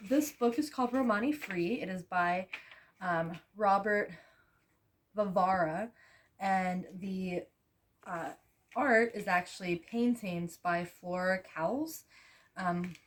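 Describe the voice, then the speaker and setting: A young woman from Russia, whose first language is Russian, casually explains a reading lesson plan to the camera. She talks in a chatty, tired way, with many ums and restarts. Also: medium pitch. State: tired, low on energy. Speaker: student teacher presenting a reading lesson.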